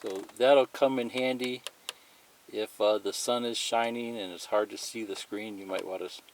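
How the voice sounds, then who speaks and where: A man's voice: fairly low pitch, steady tone, normal speed, slight background noise. A man giving a plain, methodical, unscripted step-by-step demonstration of a product as a tutorial presenter.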